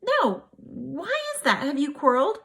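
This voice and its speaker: A bright young teenage girl, acted out by a woman reading aloud. She is polite and perky, with a high voice that lifts and swoops in a storybook style. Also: very animated, a little slow, creaky, full character voice. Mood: questioning. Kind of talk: storybook read-aloud dialogue.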